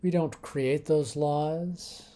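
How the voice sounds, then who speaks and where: Very dark, mellow, slow male meditation guide. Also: on the high side. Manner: calm, unhurried, with long pauses between short phrases.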